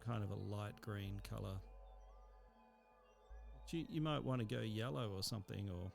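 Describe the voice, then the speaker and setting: A man narrating a software tutorial in a casual, explanatory and somewhat hesitant way. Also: lowish voice, moderate pace, vocal fry, soft background music.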